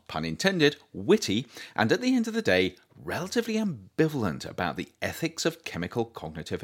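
A male author narrates his nonfiction book in a fairly low register. His intonation moves widely up and down, giving the scripted reading an expressive shape. Key words get deliberate, emphatic phrasing. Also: husky.

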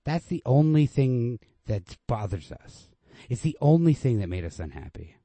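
An insistent, defensive man coaxing his lover as read by a man in a storybook. His mellow voice is persuasive and dismissive, pushed a little higher than the reader's own talk. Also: big pitch swings, moderate pace, dry recording. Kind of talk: storybook read-aloud dialogue.

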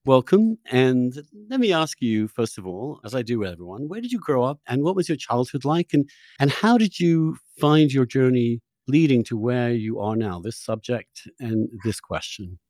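A man's voice: mid-range voice, animated, normal speed, hardly any pauses, darkish tone, dry recording. A man hosting a podcast, talking in an effusive, conversational interviewing style. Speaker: podcast host.

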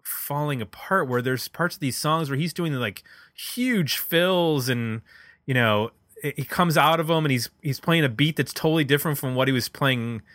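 A man's voice: a little high, normal speed, fairly bright tone, no echo. A man talking casually and analytically about music as a podcast host, feeling his way through his phrasing. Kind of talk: casual album discussion.

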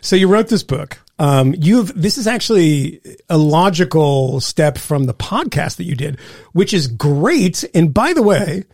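A man's voice: on the high side, sing-song, a little slow, darkish tone, no room sound, clean studio sound. A man hosting a podcast, joking, teasing and rambling through a casual chat. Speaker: podcast host.

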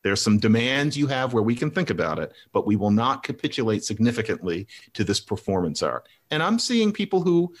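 A man's voice: mid-range voice, very animated, brisk, hardly any pauses. A middle-aged man talking through ideas and telling a personal story in a conversational discussion, voice rising sharply when he makes a point. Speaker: commentator.

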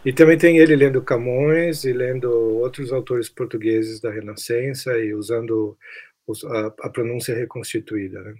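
A man's voice: mid-range voice, fairly even intonation, moderate pace, darkish tone. A man with a Brazilian accent talking casually and reflectively on a podcast, sometimes hesitating as he searches for words. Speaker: podcast host. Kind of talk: casual chat about literature.

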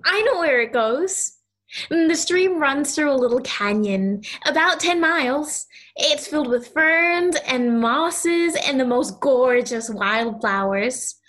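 A woman's voice: high voice, lively intonation, takes it slowly, fairly bright tone, exaggerated voice. A woman playing a kind, earnest young girl in an audio play, with a sincere, hesitant, childlike manner. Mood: eager wonder.

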